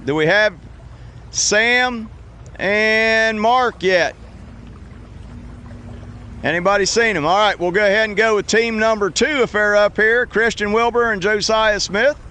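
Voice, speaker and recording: A man hosting a youth fishing tournament weigh-in outdoors at a lake, announcing loudly in an easygoing, joking way. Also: sits high, measured pace, long pauses, a little hiss or hum. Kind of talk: live event announcing.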